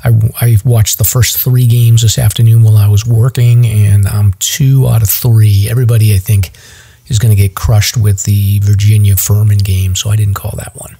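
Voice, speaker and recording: Husky, mellow man hosting a podcast. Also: lowish voice, little pitch movement, normal speed, hardly any pauses. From Massachusetts. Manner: chatty, rambling with fillers. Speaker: podcast host. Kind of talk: casual chat.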